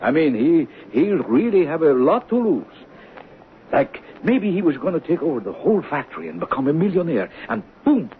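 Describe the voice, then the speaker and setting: An excitable lunch-counter man in 1970s radio drama, fairly high and very animated. He is evasive and emphatic, fumbling along on a narrow, tinny recording. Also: moderate pace, a little hiss or hum. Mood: defensive, persuading.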